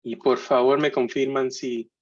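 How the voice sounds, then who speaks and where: A Puerto Rican man gives a talk over a video call, his voice pitched in an everyday middle range. He speaks with a joking ease, stumbling through fillers and restarts. The audio is slightly muffled and dull. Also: little pitch movement, unhurried, a little mellow. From Puerto Rico. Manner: jocular, hesitant with many fillers and restarts. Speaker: guest speaker. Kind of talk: webinar talk.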